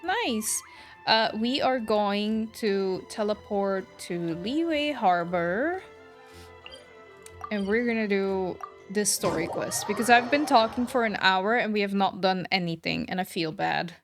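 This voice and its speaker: A woman live-streaming a game in an informal, chatty way. She takes her time as she responds to viewers, speaking at a medium pitch. Soft music plays behind her voice. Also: expressive, slow. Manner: chatty, informal, reacting to chat comments. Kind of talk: casual livestream chat with viewers.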